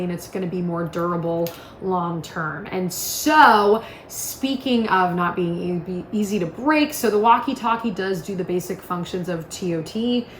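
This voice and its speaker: A woman giving a casual, chatty product demonstration, explaining things step by step in an informal, instructional way. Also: fairly low pitch. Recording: roomy echo, faint background noise.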